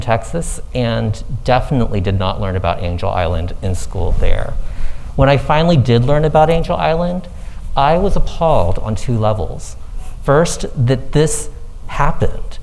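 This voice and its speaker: A man's voice: medium pitch, darkish tone, low-quality recording. Earnest man giving a slide talk. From Thailand. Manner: earnest, measured, explanatory. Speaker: foundation executive director. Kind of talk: panel presentation with slides. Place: event room with live audience.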